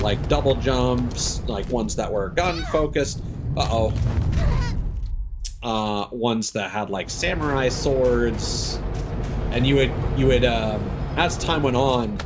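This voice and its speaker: Slow-paced man streaming a game over noise. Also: on the low side. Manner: halting, thinking aloud while playing. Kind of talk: casual live gameplay commentary.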